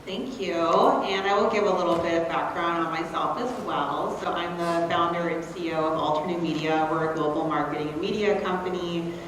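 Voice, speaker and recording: Woman, panel moderator, upbeat, encouraging, booming hall echo, faint room hiss. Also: moderate pace. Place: large echoey event hall. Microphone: distant from the recording mic. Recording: roomy hall sound from far off.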